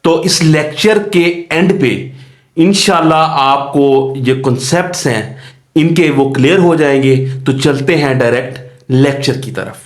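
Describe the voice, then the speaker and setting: A man giving a calm law lecture, explaining step by step and repeating key terms. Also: medium pitch, measured pace. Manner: explains step by step, mixing English legal terms into Urdu-style Hindi; calm, repeats key phrases and checks in with "theek hai ji". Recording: echoey room.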